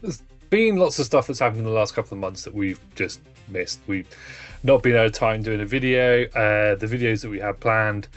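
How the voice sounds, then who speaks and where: A man's voice: fairly low pitch, lively intonation, moderate pace, faint music behind. A man co-hosting a casual chat, laid-back and prone to laughing and swearing. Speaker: co-host.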